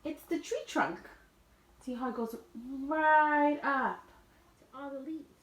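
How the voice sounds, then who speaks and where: A woman teacher reading a picture book aloud to young children, expressive and explaining things simply. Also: sits high, takes it slowly, low-quality recording. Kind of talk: read-aloud storytelling with asides to young listeners.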